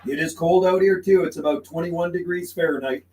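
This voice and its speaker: A man's voice: high-pitched, brisk, a little mellow. A man chatting casually as a CB radio operator on a livestream, folksy and repetitive, using radio slang. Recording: roomy sound with faint background noise.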